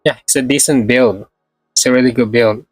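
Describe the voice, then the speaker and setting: A man streams a game with music playing behind his close, dry voice. He rambles casually in a middling pitch, doubling back on his phrases and swearing lightly. His throat is slightly sore. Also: steady tone, moderate pace, husky, no echo. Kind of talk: casual live gameplay commentary.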